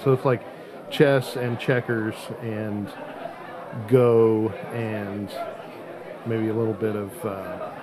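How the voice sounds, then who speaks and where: A man hosting a casual game chat, explaining things in a wordy, precise, halting way. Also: fairly low pitch, little pitch movement, crowd noise. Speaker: host of a hobby game podcast.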